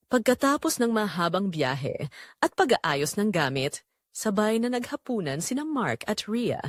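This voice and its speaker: A Filipino woman narrates a dramatic story with lively, varied intonation. Her voice sits at a middling pitch, and the sound is dry, with no room around it. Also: dry recording.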